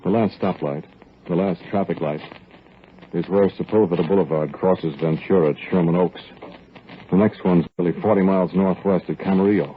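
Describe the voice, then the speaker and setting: Guy voicing a grim fugitive guy, really deep voice, low steady telling, tinny old radio sound w faint music. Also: slight background noise, close to an everyday voice. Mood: brooding. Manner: low, measured first-person narration. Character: grim adult man on the run with stolen money. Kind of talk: 1940s radio drama acting.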